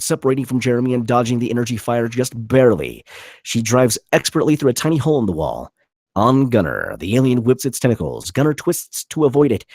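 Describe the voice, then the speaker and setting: Male table-read narrator: raspy, punchy, lively pitch swings, dry close sound. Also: dry recording. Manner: vivid, punchy reading of action. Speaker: narrator reading stage directions.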